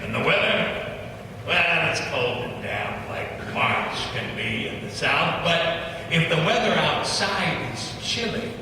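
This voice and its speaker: A man telling a historical story in a theatrical, measured style, performing in a large church hall. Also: fairly high pitch, animated, background noise. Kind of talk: dramatic historical narration. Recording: echoey church hall from far off.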